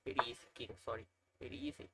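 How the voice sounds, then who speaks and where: A man's voice: racing, background noise. A young man from Nepal with a Nepali accent, talking through chess puzzles, thinking aloud with frequent hesitations and self-corrections. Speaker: chess learner narrating puzzles. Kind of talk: casual screen-recorded commentary.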